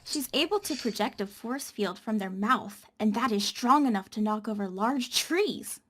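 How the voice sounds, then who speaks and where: An earnest female keeper of fantasy legends read by a woman, a little high in pitch. She explains lore in an expository way, as in an amateur script read. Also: lively intonation, normal speed. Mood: explaining, earnest.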